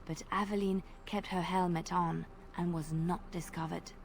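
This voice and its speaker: A woman voicing a gentle bard storyteller. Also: fairly low pitch, steady tone, slight background noise, lightly acted. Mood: storytelling. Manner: measured, lilting storytelling. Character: graceful female bard, gentle devout storyteller. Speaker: game character. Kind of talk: voiced game dialogue.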